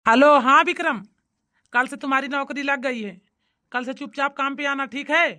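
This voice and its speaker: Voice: high-pitched, fairly even intonation, normal speed, sharp tone. A performer voicing an anxious company boss in a cartoon comedy, speaking in an emphatic, pleading manner. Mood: brisk.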